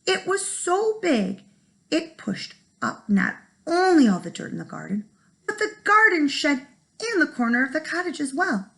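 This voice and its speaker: A woman reads a storybook aloud like a teacher to young children. Her voice sits fairly high and swings widely in pitch, with frequent creak at the edges. She leaves dramatic pauses for effect. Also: sing-song, a bit bright, poor audio. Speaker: first grade teacher.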